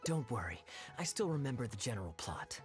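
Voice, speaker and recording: An actor playing a suave, teasing young knight in a video game, with a smooth, wry, theatrical delivery. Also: male voice, mid-range voice, very animated, fairly quick, soft background music, close to an everyday voice. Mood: relaxed, storytelling. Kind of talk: video game character dialogue.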